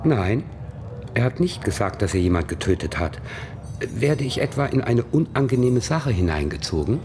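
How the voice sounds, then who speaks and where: A man's voice: medium pitch, sing-song, normal speed, noisy background. An actor playing a coy, evasive male witness under police questioning in a German radio crime drama, by turns dreamy and defensive. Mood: guarded.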